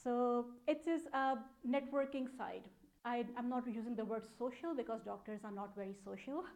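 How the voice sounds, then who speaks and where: A woman's voice: fairly high pitch, moderate pace, a little mellow. A woman in her thirties with a Pakistani accent, giving an informal talk in an explanatory, conversational way. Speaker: speaker, data analytics program manager. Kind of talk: conference talk, informal presentation.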